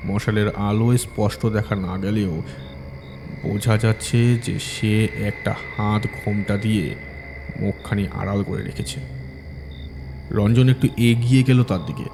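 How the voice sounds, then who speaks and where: Low-voiced male horror storyteller. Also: steady tone, over music. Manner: measured, dramatic storytelling. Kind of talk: scripted horror audio story narration.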